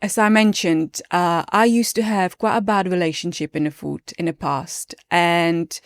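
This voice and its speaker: A woman's voice: lowish voice, fairly even intonation, moderate pace, smooth, dry recording, studio quality. A woman in her late thirties with a Czech accent, a yoga teacher chatting as a podcast guest in an earnest, reflective way, often restarting her sentences. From Czech Republic. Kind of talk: casual chat.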